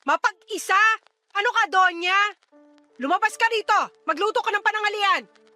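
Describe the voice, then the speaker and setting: A woman's voice: extremely high pitch, moderate pace, sharp tone. A woman playing a callous, scornful mother in a radio drama, scolding and sarcastic as she sides with her lover. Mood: scolding, irritated. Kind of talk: Tagalog radio drama acting. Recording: dramatic music under the dialogue.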